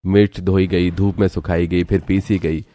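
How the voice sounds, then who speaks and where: A man's voice: low-pitched, little pitch movement, moderate pace, warm tone. A man narrating a scripted cartoon family story.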